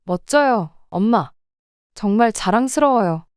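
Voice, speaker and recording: Woman doing a sweet grown daughter cheering on her mom, smooth clear voice, dry. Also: medium pitch, lively intonation, a little slow, dry recording, natural voice. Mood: caring. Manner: warm, encouraging. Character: caring adult daughter, warm and supportive.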